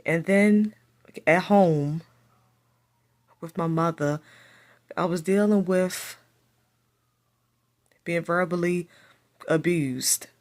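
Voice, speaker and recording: A woman's voice: fairly low pitch, drawn-out words, pauses often, darkish tone. A woman who speaks with a stutter, telling a personal story to camera and repeating her phrases as she goes. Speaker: vlogger.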